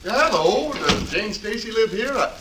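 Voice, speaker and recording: Genteel gentleman, swooping intonation. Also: high voice, sing-song, a little slow. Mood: polite. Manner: formal, polite, mildly taken aback. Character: courteous, genteel gentleman dinner guest. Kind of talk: 1940s radio sitcom acting. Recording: very noisy, crackly 1940s radio recording.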